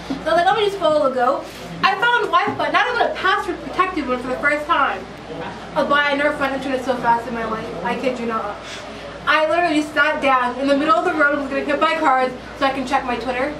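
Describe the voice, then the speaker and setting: High-voiced young woman telling a wild story. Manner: animated, slangy, dramatic retelling with acted quotes. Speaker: interviewee. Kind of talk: casual storytelling. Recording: echoey, distant, noisy street recording.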